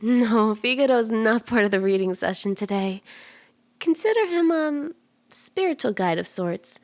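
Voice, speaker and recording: A woman voicing a cute, friendly kitsune tarot mystic in an ASMR roleplay, gentle, playful and unhurried, soft-spoken and often slipping toward a whisper. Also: a little high, expressive, no echo. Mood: welcoming, playful. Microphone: close ASMR microphone in a dry space.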